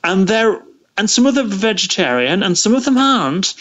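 Halting man on dull lo-fi audio. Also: high-pitched, sing-song, fairly bright tone. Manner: hesitant, thoughtful, halting delivery with stammered restarts. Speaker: interview guest, podcaster. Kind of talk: casual interview conversation.

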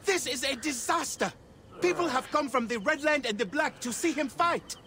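An excitable, anxious temple priest. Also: male voice, extremely high pitch, normal speed, slight background noise, heavily acted. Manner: excitable, emphatic.

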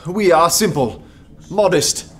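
A radio-play anarchist making a speech to his comrades. His voice sits fairly high and moves up and down a lot, earnest and uneasy as he pleads how meek he is. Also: animated, slow pace. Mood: earnest, placating. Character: anarchist poet pleading meekness in a nervous election speech.

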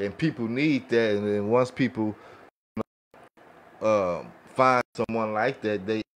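A man's voice: lowish voice, slow pace, slight background noise. An American man chatting casually on a podcast, earnest and rambling, often adding "you know". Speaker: podcast co-host. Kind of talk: casual chat. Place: small room set up as a podcast studio. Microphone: studio mic on boom arm.

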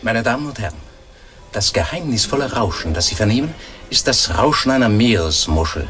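A learned radio reporter played by a man lectures in formal, poetic phrases in 1950s radio-play style. The middle-pitched voice moves animatedly over music. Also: expressive, fairly quick, fairly bright tone, lightly acted. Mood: mysterious, solemn. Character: Doctor Mercurius, male learned radio reporter.

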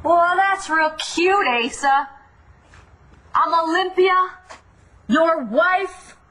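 A woman's voice: very high voice, very slow, background noise, exaggerated voice. An actress playing a volatile, jealous ranch wife who accuses her husband. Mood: suspicious. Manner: accusing, sharp, vindictive. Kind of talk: daytime soap acting.

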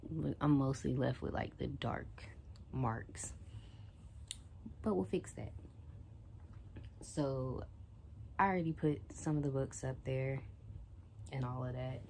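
Young woman, very low mellow voice, casual rambly bedroom vlog, faint room hiss. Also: gravelly. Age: young adult. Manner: rambling, retells a book plot loosely with many fillers. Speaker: vlogger. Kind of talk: casual chat while tidying. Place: small bedroom with bookshelf and TV, indoors. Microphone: phone or camera set on a shelf, she moves near and away.

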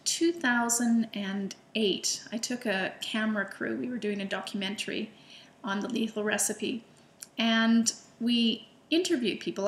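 A woman's voice: a little high, roomy sound. A middle-aged woman telling a personal story to camera in a chatty, enthusiastic way, with fillers and restarts. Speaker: illustrator and food vlogger.

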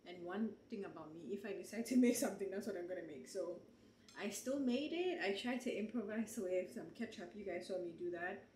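A woman in her twenties vlogging casually at home, talking to viewers with frequent laughs and animated asides, filmed on a handheld camera. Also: medium pitch, fairly quick, echoey room, slight background noise, rough recording. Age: 20s. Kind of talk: casual vlog chat. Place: small apartment kitchen and bedroom indoors. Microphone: handheld camera at arm's length.

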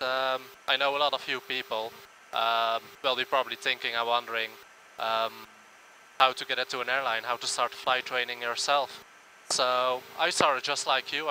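A young man in his early twenties with a very bright, forceful voice at a middle pitch. He speaks informally and earnestly to camera as an airline pilot, pausing and hesitating often. A faint engine hum fills the cockpit around him. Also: poor audio. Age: early 20s. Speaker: airline first officer. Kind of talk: informal talk to camera about his job. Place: small airliner cockpit in flight.

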